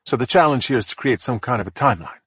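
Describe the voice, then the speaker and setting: A man's voice: medium pitch, lively intonation, racing, fairly bright tone, husky, no room sound, studio quality. A man voicing a methodical female police investigator who talks in a level, matter-of-fact way. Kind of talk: audiobook character voice.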